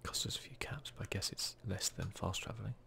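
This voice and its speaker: A man doing hushed, murmured ASMR commentary over a video game, talking to himself close to the microphone. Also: on the low side, a little fast, vocal fry. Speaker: gaming video host.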